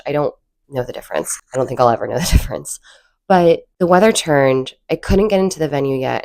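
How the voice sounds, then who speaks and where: A woman's voice: low-pitched, darkish tone. A woman with a cold and a froggy voice, hosting her own channel and telling stories in a chatty, rambling way with self-deprecating asides. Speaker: channel host. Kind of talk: casual storytelling vlog.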